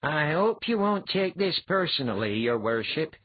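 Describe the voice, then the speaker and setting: A man voicing a polite, earnest and excitable young fighter in an audiobook story. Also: medium pitch, normal speed, phone-quality sound, pitched above the performer's own voice, natural voice.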